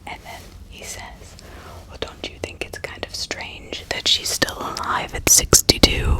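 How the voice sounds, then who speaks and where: A woman narrating true-crime stories in an ASMR style, in a breathy, unvoiced whisper with sympathetic, dramatic storytelling. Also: measured pace, bright, forceful tone, poor audio. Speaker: ASMR host.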